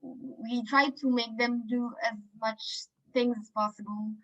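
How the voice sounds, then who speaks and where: A woman's voice: on the high side, fairly even intonation, unhurried, fairly bright tone. A young woman from Morocco, a student representative, chatting casually with fillers in a webinar over a video call. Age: young adult. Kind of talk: webinar chat. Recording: dull lo-fi call audio.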